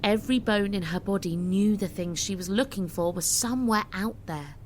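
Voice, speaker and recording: A woman's voice: mid-range voice, moderate pace, a little mellow, slight background noise. A woman giving scripted, dramatic story narration as an audiobook narrator. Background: music.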